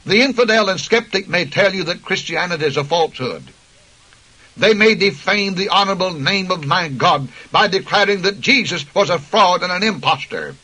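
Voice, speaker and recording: Man, preacher, emphatic sermon delivery, high-ish voice, forceful. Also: lively intonation, normal speed, rough recording. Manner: impassioned, emphatic preaching that cites scripture. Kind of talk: radio sermon.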